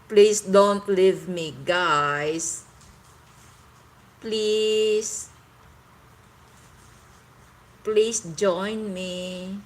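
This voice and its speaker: A woman's voice: medium pitch, fairly even intonation, extremely slow, pauses often. A woman from the Philippines with a Visayan Filipino accent, chatting on a live stream and stopping often to search for words in English. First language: Cebuano. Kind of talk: casual live stream chat with viewers. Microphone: phone.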